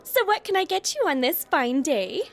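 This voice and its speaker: Very high-pitched, over-friendly barista played by a woman. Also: big pitch swings, normal speed, creaky, faint music behind, heavily acted. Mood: chirpy. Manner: perky, over-friendly, passive-aggressive. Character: relentlessly chirpy, guilt-tripping coffee shop barista. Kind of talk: audio sketch comedy acting.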